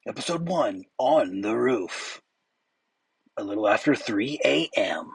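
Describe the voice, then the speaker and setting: A man's voice: lowish voice, animated, slow pace, gravelly, breathy edge, dry recording, poor audio. A man reading a comic aloud in a theatrical, dramatic manner.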